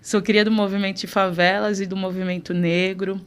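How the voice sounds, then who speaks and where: A woman in her thirties from Recife, talking as a podcast guest in a lively, warm way with emphatic stresses. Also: mid-range voice, normal speed, clean tone. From Recife, Pernambuco, Brazil. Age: 30s. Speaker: guest, city councillor. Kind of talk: casual interview.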